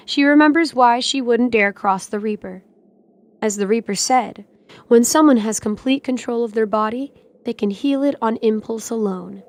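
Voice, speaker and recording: A woman reading scripted dramatic narration as the narrator of an audio drama. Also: mid-range voice.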